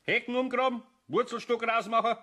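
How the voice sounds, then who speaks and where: A man's voice: very high-pitched, steady tone, a little fast, bright, forceful tone, exaggerated voice. An actor playing a stern but good-natured older master craftsman with a Bavarian accent in a children's audio play. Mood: gruff, relenting.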